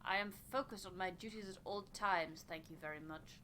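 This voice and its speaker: A woman's voice: mid-range voice, a little fast, sharp tone, slight background noise, close to an everyday voice. A woman voicing a prim, irritable, duty-bound expedition member in a game, formal and curt. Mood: prim, annoyed.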